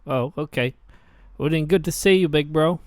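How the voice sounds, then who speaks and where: A man's voice: on the high side, normal speed, no room sound, natural voice. A man playing a casual, chummy younger brother with an informal way of talking in a drama. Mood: let down. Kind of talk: dramatized dialogue.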